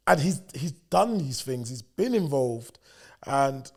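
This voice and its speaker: A young man, a personal trainer co-hosting a podcast, talking in a slangy, animated way and often repeating himself. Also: mid-range voice, takes it slowly, creaky. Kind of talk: casual chat.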